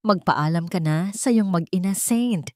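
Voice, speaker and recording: A woman performs a vengeful older villainess from a radio drama. She sneers and taunts, savoring her words with deliberate menace. The sound is dry and close. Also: on the low side, no echo, natural voice. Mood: chilling triumph. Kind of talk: Tagalog radio drama storytelling.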